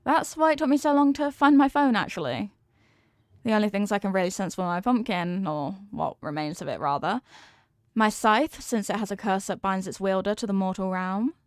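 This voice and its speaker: A woman's voice: medium pitch, normal speed, dry recording, clean studio sound, close to an everyday voice. A woman playing a sweet, clumsy, centuries-old headless horsewoman girlfriend in an affectionate, sheepish, rambling way for an intimate ASMR roleplay. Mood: gentle, explaining. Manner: soft-hearted, sheepish, affectionate, rambling explanations.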